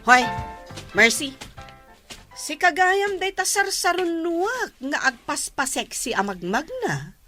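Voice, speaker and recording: Radio drama role: jealous Ilocano wife played by a woman, very high, bright voice, swooping, nagging and confrontational. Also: very animated, takes it slowly, faint music behind, full character voice. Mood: bitter, resentful. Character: jealous, loud-mouthed Ilocano wife picking quarrels. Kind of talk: melodramatic radio drama acting.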